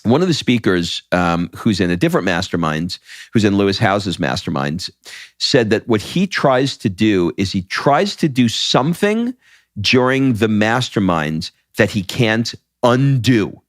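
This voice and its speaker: A man with a low, deep voice, co-hosting a podcast in casual chat. He tells stories with animated energy and often restarts his sentences. The close mic sounds dry, with no room around him. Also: measured pace, dry recording. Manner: animated storyteller, frequent restarts. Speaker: podcast co-host.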